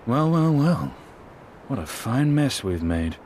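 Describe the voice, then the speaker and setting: An actor voicing a grim, sardonic lancer warrior in a video game, with a terse, dry, measured delivery. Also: male voice, medium pitch, very animated, a little mellow, a little hiss or hum. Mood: dry menace. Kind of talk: dramatic video game voice acting.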